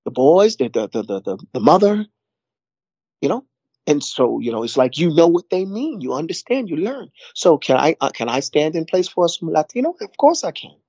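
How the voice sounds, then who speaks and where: A man's voice: fairly high pitch, big pitch swings, normal speed, mellow, breathy edge, dry recording, lo-fi sound. A middle-aged man, talking casually in a rambling way, repeating words and saying "you know" a lot. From New York. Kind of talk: casual conversation.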